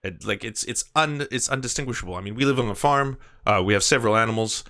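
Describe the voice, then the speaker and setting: Indignant man with a bright, forceful voice. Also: medium pitch, fast. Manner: indignant, earnest complaining. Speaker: prank caller posing as churchgoing parent. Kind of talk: prank phone call.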